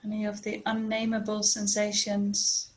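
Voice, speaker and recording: A woman leading a guided body scan meditation as a teacher, speaking gently and unhurriedly with long pauses. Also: medium pitch, monotone.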